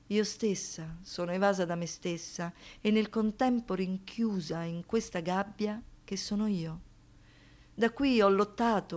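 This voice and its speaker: A woman's voice: mid-range voice, natural voice. Actress playing a haunted woman, intense theatrical monologue. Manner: intense, deliberate, with dramatic pauses and rhetorical questions. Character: haunted, wounded woman, lyrical, pleading and defiant. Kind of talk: theatrical radio monologue, poetic and dramatic delivery.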